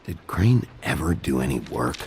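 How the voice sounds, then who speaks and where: An actor playing a gruff, terse sheriff in a noir fairytale video game, blunt and laconic. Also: male voice, extremely low pitch, big pitch swings, normal speed, darkish tone, a little hiss or hum. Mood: low-key, reassuring.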